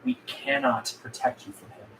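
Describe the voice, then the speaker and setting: A man's voice: mid-range voice, sing-song, normal speed, a bit bright. A man playing a defiant, world-weary and blunt rebel leader at a script table read. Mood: bitter warning. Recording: echoey room with background noise.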